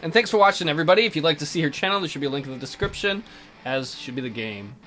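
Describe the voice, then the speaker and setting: A man's voice: on the high side, animated, rapid-fire, pushed and bright, a little hiss or hum. A man hosting a let's play, giving casual, irreverent, joking commentary on a visual novel. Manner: jokey, irreverent. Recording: music behind the voice. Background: game music.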